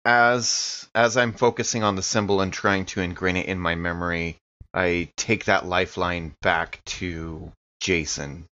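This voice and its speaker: A man's voice: low-pitched, steady tone, unhurried, sharp tone, lo-fi sound. A man playing a tabletop role-playing game, chatting casually at the table as one of the players. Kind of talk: casual game-table chat with in-character bursts.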